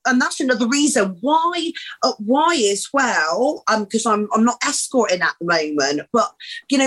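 A woman's voice: fairly high pitch, a bit bright, rough recording. A woman in her thirties, a guest talking candidly and bluntly, swearing freely and calling people babe. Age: 30s. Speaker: podcast guest. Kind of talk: candid casual conversation.

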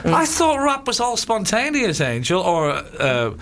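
A male host with a fairly high voice that leaps up and down as he talks. He trades quick, teasing jokes with his guest in a relaxed interview. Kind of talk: casual interview chat.

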